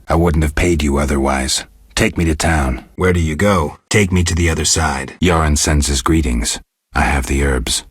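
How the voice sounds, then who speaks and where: An actor playing a gruff, stoic monster hunter in a video game, with a low, gravelly voice and a terse, deadpan delivery. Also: male voice, no echo, studio quality, exaggerated voice. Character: gruff, stoic male monster hunter, not entirely human. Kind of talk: video game dialogue acting.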